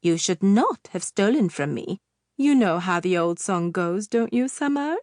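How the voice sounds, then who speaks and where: A woman reading an audiobook, voicing a sinister, cackling witch in a low, hissing voice. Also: animated, measured pace, darkish tone, dry recording, clean studio sound, natural voice. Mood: menacing.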